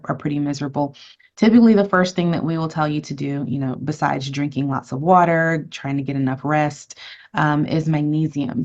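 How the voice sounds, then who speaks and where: A woman's voice: deep voice, darkish tone, highs cut off. A woman physician giving an educational webinar to patients, friendly and conversational as she answers questions and runs polls.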